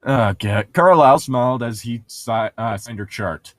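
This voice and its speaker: A podcast co-host with a husky voice, joking and teasing casually while reading a story aloud. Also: mid-range voice, expressive, moderate pace, no echo. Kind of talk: casual joking commentary and mock dialogue.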